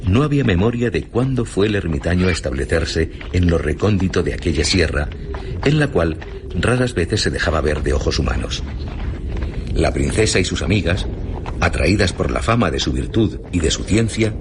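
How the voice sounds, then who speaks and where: A man with a very deep voice and a Castilian accent reads literary narration for a radio play. His storytelling is measured. Clear ambient noise runs behind him. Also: big pitch swings. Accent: Castilian Spanish.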